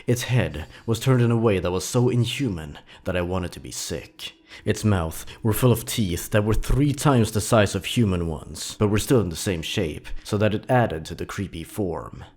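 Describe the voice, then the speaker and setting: A man's voice: fairly low pitch, moderate pace, darkish tone. A man narrating scripted horror stories with a dramatic, suspenseful delivery. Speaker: narrator, host of a horror story countdown.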